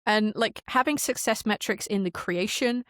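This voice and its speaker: A woman's voice: mid-range voice, normal speed, fairly bright tone, no echo, clean studio sound. A woman chatting casually as a podcast guest, illustrating her points with acted-out quotes and thoughts. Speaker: podcast guest, audio drama creator. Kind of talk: casual interview chat.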